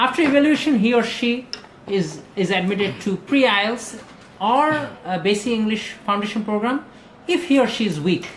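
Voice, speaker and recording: A man talks through exam advice in a casual discussion. He pauses often and fills the gaps with hesitations. The sound is roomy, with a faint background noise. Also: sits high. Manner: hesitant, many fillers, slow deliberate phrasing. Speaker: IELTS trainer. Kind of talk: casual discussion, mixing in Bengali. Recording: echoey room with faint ambient noise.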